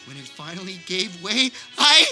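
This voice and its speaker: A woman's voice: lowish voice, sing-song, normal speed, pushed and bright, soft background music, heavily acted. A woman playing an overwrought, haunted man telling his childhood trauma in a comedic radio play, drawn out and theatrical. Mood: solemn, haunted.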